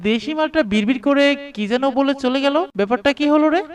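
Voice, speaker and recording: Young rich bully, very high voice, arrogant, taunting, leering, cartoon acting. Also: male voice, quick pace, far from the mic. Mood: boastful. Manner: taunting, pushy.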